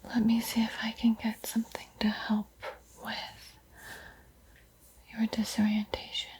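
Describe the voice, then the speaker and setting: Slow whispering ASMR woman. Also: a little high, monotone, darkish tone, poor audio. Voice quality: breathy whisper. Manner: slow, soothing, playfully teasing. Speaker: art tutorial host. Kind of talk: whispered ASMR roleplay painting tutorial.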